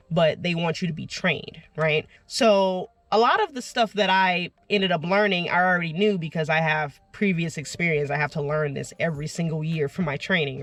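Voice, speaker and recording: A woman talks casually to her viewers in a friendly vlog. Her voice is a little congested and nasal from recovering from an illness. She is chatty and keeps tossing in quick "you know" and "right?" asides as she talks. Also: deep voice, a bit bright. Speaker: vlogger and delivery driver. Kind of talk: casual vlog talk to camera.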